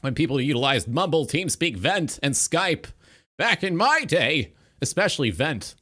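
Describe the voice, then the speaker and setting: Male host, casual reaction commentary, animated and bright, rambles with crude humor. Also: a little high, moderate pace. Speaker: talk show host and streamer.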